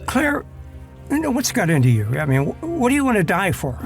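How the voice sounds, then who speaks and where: An actor playing a worried, exasperated doctor in an audio drama, pleading and stammering. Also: male voice, sits high, huge pitch swings, a little fast, faint music behind, full character voice. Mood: flustered, pleading.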